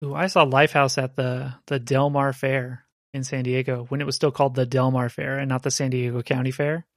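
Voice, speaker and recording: A man's voice: medium pitch, little pitch movement, a little fast, fairly bright tone, no room sound. Chatty man explaining, dry sound. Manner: chatty, explaining with rhetorical "right?". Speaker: podcast co-host. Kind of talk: casual chat.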